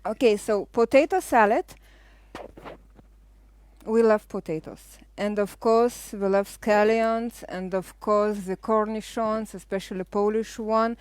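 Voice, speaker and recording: A middle-aged woman with a Lithuanian accent hosting a live cooking show, chatting casually as she explains each step with frequent pauses. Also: medium pitch, steady tone, darkish tone, clean tone.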